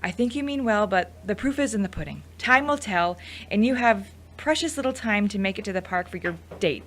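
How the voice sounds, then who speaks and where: A woman's voice: medium pitch, expressive, brisk, fairly bright tone. A woman playing a wry, wounded woman in an audio drama, speaking in a pointed, sardonic way. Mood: wry, resigned. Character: wry woman hurt at being dumped, feigning indifference. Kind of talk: amateur audio drama acting.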